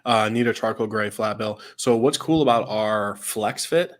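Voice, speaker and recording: A man's voice: on the low side, normal speed. A man co-hosting a casual livestream chat, easygoing and chatty with lots of fillers, sounding a little stuffed from a cold. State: fighting a cold, slightly stuffed. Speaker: co-host.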